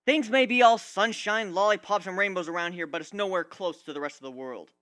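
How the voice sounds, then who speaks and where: A narrator acting an indignant young male griffin, loud and bright. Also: mid-range voice, fairly quick, no room sound, studio quality, pitched above the performer's own voice, natural voice. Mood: bitter ranting. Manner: ranting, emphatic, aggrieved.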